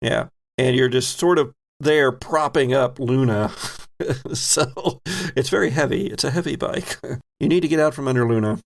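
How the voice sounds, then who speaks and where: A man with a creaky, medium-pitched voice acts as game master. He narrates scenes off the cuff and teases his players as he goes, keeping it casual. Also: normal speed.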